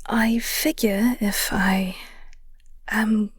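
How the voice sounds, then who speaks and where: Breathy, gentle vampire flirt played by a woman. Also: mid-range voice, fairly even intonation, no echo. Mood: wistful, reflective. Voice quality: breathy, husky. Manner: halting, teasing, tender. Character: flirty, gentle vampire nemesis comforting a heartbroken human. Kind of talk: intimate audio roleplay acting, half-whispered.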